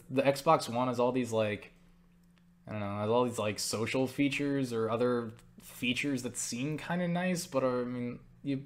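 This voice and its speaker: A man talking in a casual, rambling monologue as he answers viewer questions, stumbling over words, interrupting himself and speaking bluntly. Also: mid-range voice. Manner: blunt, swears freely.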